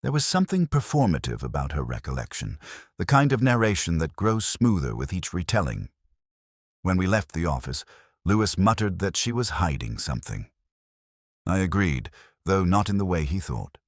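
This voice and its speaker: A man with an extremely low voice narrates a detective mystery in the first person. His delivery is measured and solemn, yet the pitch moves in a lively way. The recording is dry and close. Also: no echo. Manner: measured, solemn, literary storytelling.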